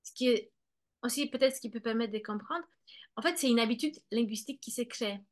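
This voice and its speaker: A woman's voice: fairly high pitch, fairly quick. A woman explaining bilingual children in a conversation, speaking hesitantly with many fillers and restarts, and acting out what children and parents say. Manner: acts out children's and parents' words. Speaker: guest expert on bilingual children.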